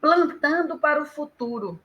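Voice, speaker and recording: A woman guest on a live chat, talking lively and emphatically as she gives advice. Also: high-pitched, normal speed. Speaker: guest storyteller and author.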